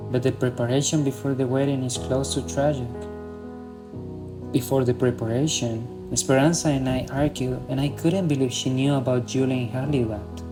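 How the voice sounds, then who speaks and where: A man's voice: mid-range voice, natural voice. A man playing a lovesick, torn young man in a student radio drama, speaking English. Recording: music under the voice.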